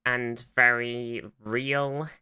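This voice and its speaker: A man's voice: medium pitch, level tone, extremely slow, bright, forceful tone, smooth. A man co-hosting a podcast in casual chat, rambling and circling back over his words with frequent restarts. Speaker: podcast host.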